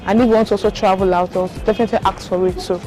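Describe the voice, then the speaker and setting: A woman's voice: medium pitch, steady tone, rapid-fire, a little mellow, faint music behind, rough recording. A woman with a Nigerian accent answering casually as a member of the public. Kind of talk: casual street interview.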